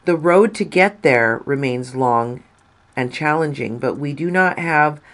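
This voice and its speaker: Slow, deliberate woman reading aloud. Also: deep voice, lively intonation, fairly bright tone. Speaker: narrator reading an article. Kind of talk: scripted article narration.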